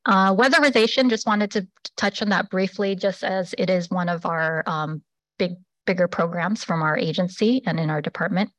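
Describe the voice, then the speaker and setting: A woman presenting a public assistance program at a public meeting, pausing often with fillers and restarts. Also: lowish voice, fairly bright tone, dry recording, rough recording. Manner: halting, many fillers and restarts.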